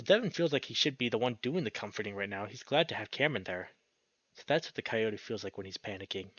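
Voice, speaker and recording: A man's voice: mid-range voice, a bit bright, no echo. A man reading a visual novel aloud as a let's play host, narrating dramatically and breaking into exclamations. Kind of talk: expressive story narration with excited reactions. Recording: dull lo-fi sound, music behind. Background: game music.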